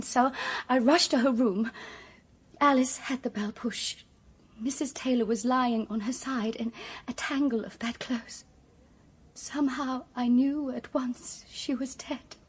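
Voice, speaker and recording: A nervous young murder suspect in a radio play. Her voice is very breathy and fairly high. She speaks earnestly and hesitantly, as if under suspicion. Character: anxious young female companion accused of murder. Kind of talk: radio drama acting.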